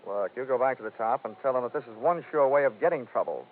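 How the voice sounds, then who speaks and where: An actor playing a wisecracking, flirtatious hardboiled investigator in 1950s radio detective style, wry and smooth-talking. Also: male voice, mid-range voice, expressive, quick pace, natural voice. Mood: hardboiled narrating. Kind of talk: 1950s radio detective drama acting and narration. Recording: tinny 1950s radio recording, narrow band.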